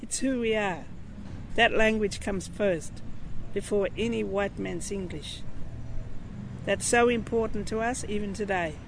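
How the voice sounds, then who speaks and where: A woman's voice: mid-range voice, measured pace, vocal fry. A woman in her sixties from Central Australia, speaking directly and emphatically in an interview outdoors by a street. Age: 60s. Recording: street noise behind.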